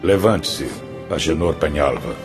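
A man playing a stern spirit teacher in a radio drama, speaking in a measured, commanding and solemn manner. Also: a bass voice, animated, music in the background. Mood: firm command.

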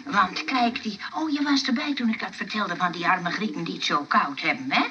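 A woman acting a chatty, well-meaning, bossy nurse in a Dutch radio comedy play, brisk and hesitating mid-sentence. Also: mid-range voice, bright, forceful tone, natural voice. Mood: chatty, persuading. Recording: noisy, muffled old radio-play recording.

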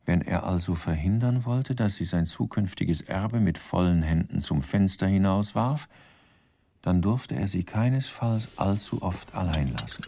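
An actor narrating a German radio crime comedy as a wry, composed storyteller in a measured, dryly ironic manner. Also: male voice, low voice, expressive, creaky, mellow, slightly rough, dry recording, exaggerated voice. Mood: dry irony. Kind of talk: scripted radio drama narration.

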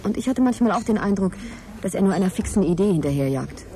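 An actress playing a guarded, sceptical middle-aged scientist in a naturalistic radio drama, direct and questioning in conversation. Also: female voice, medium pitch, expressive, fast talker, warm tone, lightly acted. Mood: reflective, matter-of-fact. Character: guarded divorced female scientist, sceptical, frank, wary. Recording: crowd chatter behind, café scene. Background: café crowd chatter.

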